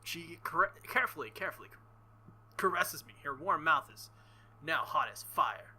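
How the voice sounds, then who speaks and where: A man reading a visual novel aloud with casual commentary, halting and embarrassed, often breaking into nervous laughter. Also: a little high, animated, sharp tone, slightly rough, low-quality recording. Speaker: let's play host.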